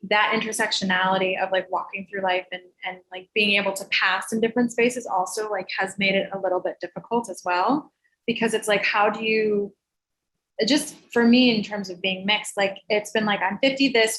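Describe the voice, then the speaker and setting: A woman's voice: medium pitch, fairly bright tone, low-quality recording. A woman hosting an online meetup, chatting casually with many "like" fillers. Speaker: meetup host. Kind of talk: casual group video chat.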